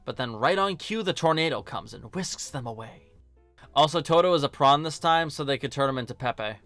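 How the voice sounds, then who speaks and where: A man's voice: a little high, expressive, brisk, fairly bright tone, no echo, faint music behind. A man narrating a scripted film review in a casual, joking, sarcastic and colloquial way. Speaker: video host and film reviewer.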